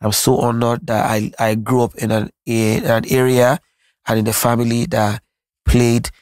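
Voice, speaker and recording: A man's voice: mid-range voice, flat delivery, normal speed, a little mellow, clear, resonant tone, no echo. A man with a Nigerian accent talks in an earnest, reflective way, often circling back to restart his thoughts. His voice stays level with little rise and fall. The sound is dry and close, with no room around it. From Nigeria (Lagos). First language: Yoruba. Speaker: musician guest. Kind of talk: candid interview conversation.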